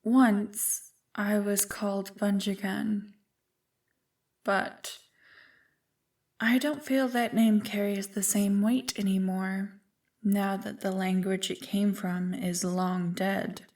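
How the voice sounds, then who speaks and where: An ancient evil spirit voiced by a woman in an ASMR roleplay, with a medium-pitched feminine voice. She speaks slowly and deliberately, teasing and taunting with seductive, smug condescension. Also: steady tone, darkish tone, recorded from a distance. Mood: imperious, playful.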